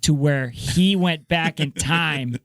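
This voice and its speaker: A man's voice: fairly high pitch, takes it slowly, no echo. A man co-hosting a podcast who rambles through a story in a loose, casual way. The recording is dry, with no room sound. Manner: loose storytelling and short interjections. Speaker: podcast co-host.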